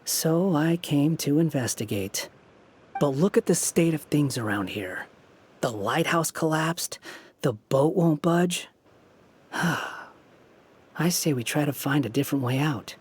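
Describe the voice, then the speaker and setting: A man's voice: fairly high pitch, lively intonation, takes it slowly, slight background noise. A male actor playing an aloof, irritable young hero in a video game, speaking with dismissive sarcasm. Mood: weary. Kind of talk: English video game voice acting. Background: game music.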